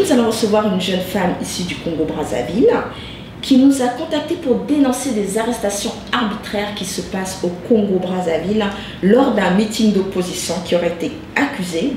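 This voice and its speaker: A woman's voice: medium pitch, darkish tone. A woman hosting a web TV interview, asking questions with many hesitations and restarts. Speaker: host. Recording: echoey, distant mic with hum.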